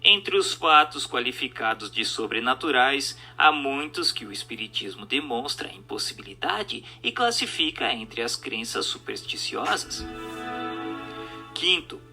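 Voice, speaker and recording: A man's voice: mid-range voice, pushed and bright. A man with a Brazilian accent reading a scripted text in an emphatic, declamatory, didactic manner. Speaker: narrator. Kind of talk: scripted narration of a spiritist text. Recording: music bed under the voice. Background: music.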